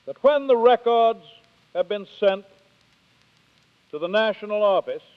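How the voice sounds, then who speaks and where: An American man giving a formal, deliberate 1930s radio address as a Scouting executive on an old archive recording. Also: high-pitched, lively intonation, clean tone, in a large hall, narrow, tinny audio.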